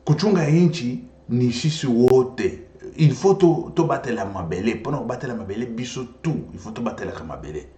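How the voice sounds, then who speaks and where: A man from Congo, a Swahili native speaker with a Congolese French accent, giving an impassioned political monologue as an animated storyteller who repeats himself and switches languages. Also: mid-range voice, normal speed. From Democratic Republic of the Congo. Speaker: political commentator. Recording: echoey room.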